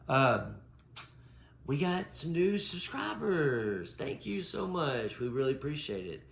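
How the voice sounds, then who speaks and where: A man's voice: fairly high pitch, sing-song, takes it slowly, creaky, fairly bright tone. A man with a stutter, co-hosting a casual podcast, often repeating and breaking off sounds. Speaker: podcast co-host. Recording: roomy echo with faint noise.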